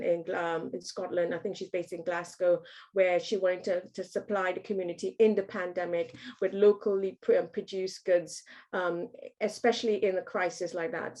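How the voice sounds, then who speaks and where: A woman's voice: mid-range voice, dark tone, poor audio. A woman from Trinidad and Tobago, giving a business workshop talk, hesitant with frequent ums and restarts.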